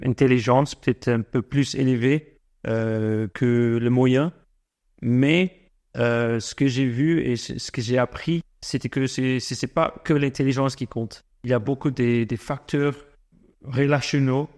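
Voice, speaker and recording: A man in his forties who grew up in South Africa and speaks French as a second language, answering interview questions slowly with many fillers and restarts. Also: medium pitch, a little mellow. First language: not French. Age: 40s. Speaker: guest entrepreneur.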